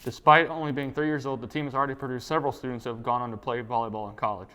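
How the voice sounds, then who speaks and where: A teenage boy reads a scripted sports segment for a school TV show. He moves quickly through the copy in an even, mid-pitched voice. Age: teens. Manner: brisk, read from script. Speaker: student sports anchor. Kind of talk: scripted news reading. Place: school TV studio.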